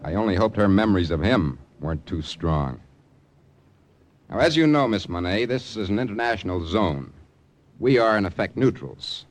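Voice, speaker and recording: An actor playing a cool, businesslike American secret agent in an old radio drama, with a clipped, official delivery. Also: male voice, on the low side, lively intonation, moderate pace, natural voice. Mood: measured, reporting. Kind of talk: 1940s-50s radio drama acting and narration. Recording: vintage radio recording with music behind.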